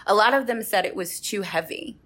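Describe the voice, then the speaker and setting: A woman hosting a casual panel discussion and talking about her writing in a halting, searching way. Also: medium pitch, fairly bright tone. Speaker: playwright and host.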